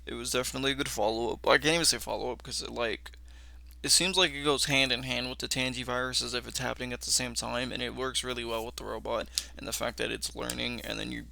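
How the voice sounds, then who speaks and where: A man's voice: mid-range voice, steady tone, fast, vocal fry, sharp tone, no echo. A young man hosting a reaction video, casually commenting and reading text aloud.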